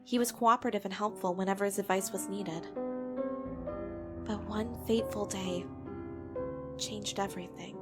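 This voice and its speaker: A woman's voice: mid-range voice, fairly even intonation, moderate pace, pauses often, lightly acted. A woman playing a frank, reflective young professor in a comic dub, with music behind her voice. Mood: wistful, reflective. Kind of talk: dramatic voice acting and reflective narration for a comic dub.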